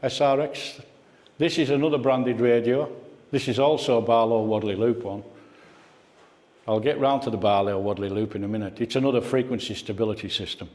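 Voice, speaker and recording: A man's voice: medium pitch, steady tone, fairly quick, a little mellow. A man giving an informal club talk as guest speaker, conversational and reminiscing, putting questions to his listeners. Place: indoor club meeting room. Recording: echoey hall, club meeting room.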